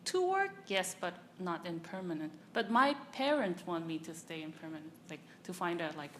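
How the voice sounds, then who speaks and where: A young woman, an international student answering interview questions in a chatty way in halting English, laughing easily. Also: lowish voice, expressive, slight background noise. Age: young adult.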